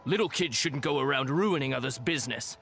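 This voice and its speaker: A man voicing a gruff, touchy fishmonger in a video game. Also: mid-range voice, animated, brisk, fairly bright tone, faint music behind. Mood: indignant. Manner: gruff, indignant.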